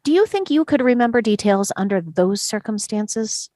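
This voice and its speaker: Woman reading calm persistent juror in a play, mid pitch, polite probing questions, very dry recording. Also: no echo, lightly acted. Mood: persistent questioning. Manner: measured, questioning, courteous. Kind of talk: amateur table read of a stage drama.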